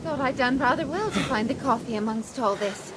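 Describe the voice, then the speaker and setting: Genteel high-voiced castaway woman, noisy background. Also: animated, brisk, a bit bright. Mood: mildly puzzled. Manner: polite, wry, old-fashioned phrasing. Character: genteel, plucky castaway woman, dryly witty and composed. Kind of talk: period audio drama acting. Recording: clear noise behind the voice, outdoor ambience.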